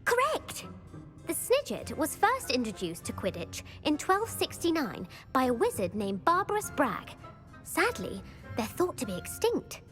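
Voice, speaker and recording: A woman's voice: sits high, wildly swooping pitch, a little slow, a bit bright, faint music behind, heavily acted. A woman playing an eager, bookish schoolgirl quizmaster in a video game, precise and enthusiastic. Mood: delighted, excited. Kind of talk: video game character acting.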